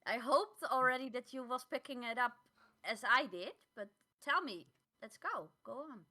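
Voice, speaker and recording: Higher-voiced woman chatting casually. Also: animated, sharp tone. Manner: halting, repeats words, non-native English phrasing. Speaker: podcast co-host. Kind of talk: casual chat.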